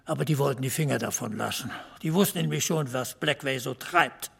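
Gravelly, knowing small-town gossip, male. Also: medium pitch, brisk, a bit bright, heavily acted. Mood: wry, knowing. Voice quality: gravelly, husky. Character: knowing small-town man, club regular, gossiping drily. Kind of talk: German audio drama dialogue.

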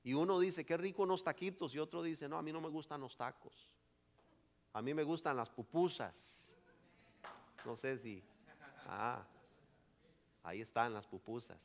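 A man preaching a sermon as pastor, swelling into emphatic lines and then dropping to quiet asides. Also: fairly high pitch, measured pace, long pauses.

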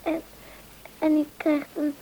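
A woman's voice: sits high, level tone, takes it slowly, warm tone. A woman in a radio play playing a small girl, plaintive and childlike, who clings to her grandmother. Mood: childlike, trusting. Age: child figure. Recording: dull, muffled radio play recording.

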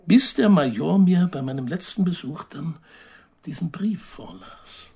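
An actor playing a measured, sceptical journalist in a radio play, speaking reflectively with pauses. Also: male voice, on the high side, animated, gravelly, mellow, slightly rough, heavily acted. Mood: pondering, sceptical. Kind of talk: radio play narration and dialogue.

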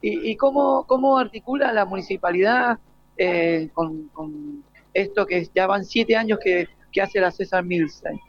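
A high-pitched Argentine man works as a radio host, putting informal questions to his guests. The sound is dry and slightly muffled, like a live outside broadcast. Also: unhurried, no room sound. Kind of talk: live informal panel interview.

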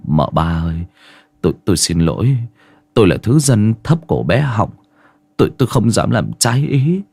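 Timid, stammering, low-voiced manservant played by a man. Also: very animated, moderate pace, creaky, darkish tone, breathy edge, dry recording, exaggerated voice. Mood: apologetic. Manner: stammering, apologetic, deferential. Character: timid, humble male servant, kind and fearful.

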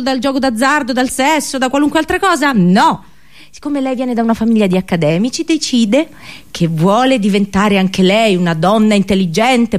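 A woman performing a comic theatrical monologue for radio, colloquial and sarcastic, full of exaggerated rhetorical questions. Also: a little high.

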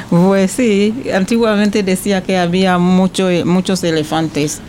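A woman's voice: medium pitch, steady tone, slow, darkish tone, a little hiss or hum. A woman from the Ivory Coast, a guest giving brief, hesitant answers in an interview.

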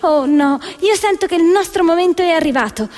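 A woman's voice: very high voice, animated, fairly quick, darkish tone, voice pushed up. A woman voicing an agitated, pleading rebel abbess in satirical puppet theatre, wailing melodramatically. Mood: anguished, insistent.

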